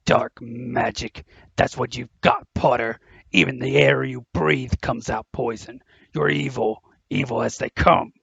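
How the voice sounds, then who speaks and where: A man playing a menacing, spiteful old school caretaker in an audio drama, hissing slowly in a husky, gravelly voice. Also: medium pitch, fairly bright tone, no echo, highs cut off, heavily acted. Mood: menacing, accusing. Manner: slow, menacing, accusing. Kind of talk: audio drama villain acting.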